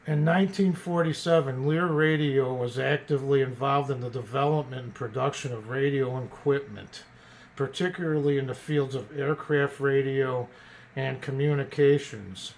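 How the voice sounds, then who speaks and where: A man casually presenting an old radio as a collector, partly reading from notes in a halting way and stumbling over words. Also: mid-range voice, vocal fry, a little mellow, a little hiss or hum.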